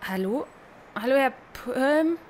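Curt older female receptionist, bored, slightly high, radio play phone scene. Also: expressive, slow. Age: 60s. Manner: indifferent, curt. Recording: phone scene with faint noise.

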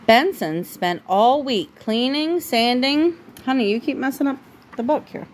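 A woman reading a picture book aloud to a child at home, breaking off for informal, gently correcting asides. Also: sits high, animated, unhurried, a little hiss or hum. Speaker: parent reading a bedtime story to a child.

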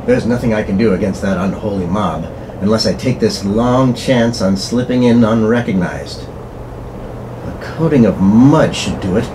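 A man's voice: lowish voice, unhurried, lightly acted. A man voicing a brave, desperate pulp hero in earnest, melodramatic radio horror style. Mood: urgent, determined. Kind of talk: old-time radio horror acting. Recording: clearly noisy recording.

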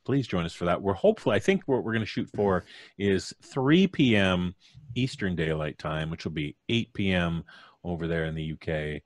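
A man's voice: sits low, expressive, normal speed, no room sound. A middle-aged man hosting a live stream with casual, jokey banter, close on a studio mic. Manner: jokey, playful. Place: indoor home studio. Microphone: studio mic on boom arm, headphones.

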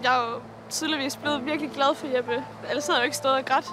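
A young woman speaks haltingly in a confessional interview, her voice quite high. A clear music bed plays beneath her on a beach. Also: steady tone. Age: young adult. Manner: hesitant, with fillers. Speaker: reality show contestant. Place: outdoors on a tropical beach. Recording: reality TV with music underneath.

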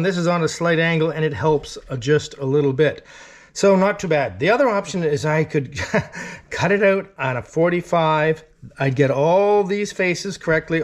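A middle-aged man working as a shipwright, thinking aloud as he methodically explains a woodworking job in his shop. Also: fairly high pitch. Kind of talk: workshop how-to narration. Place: wooden-walled boat shop, indoors.

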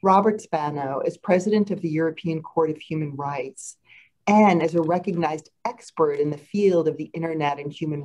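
An American woman gives formal introductory remarks over a video call. Her voice is notably low, and her delivery is poised and scripted. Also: a little mellow. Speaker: introductory speaker.

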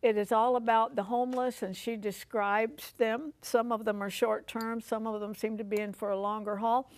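Older American woman, fairly high voice. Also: level tone, normal speed. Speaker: talk show host. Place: furnished living-room style TV studio set.

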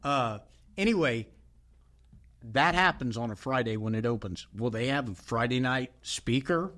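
Animated middle-aged pastor telling a story. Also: male voice, mid-range voice, normal speed. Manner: animated storyteller, emphatic and exasperated. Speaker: pastor, podcast co-host. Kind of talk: casual chat.

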